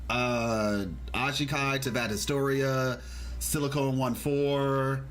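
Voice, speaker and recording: A man's voice: mid-range voice, level tone, slow pace, a bit bright. A man chatting casually as a game streamer on a livestream, rambling and reading out chat comments as he goes.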